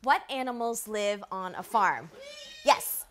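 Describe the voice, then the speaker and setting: A woman teacher leading a primary class with encouraging, animated questions and read-aloud lines, recorded in a classroom. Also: mid-range voice, slow. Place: classroom with young children.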